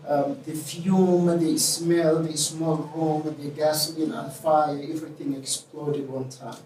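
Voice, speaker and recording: Mellow higher-voiced Somali man, halting. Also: little pitch movement. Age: middle-aged. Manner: halting, pieces sentences together. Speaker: interview guest, nurse and non-profit founder. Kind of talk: personal storytelling in an interview. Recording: roomy, distant mic, faint noise.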